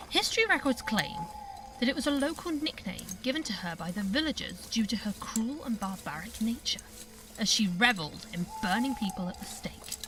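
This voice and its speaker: An actress playing a theatrical television presenter of a live ghost-hunting show, speaking with suspense in an audio drama. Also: female voice, medium pitch, natural voice, poor audio.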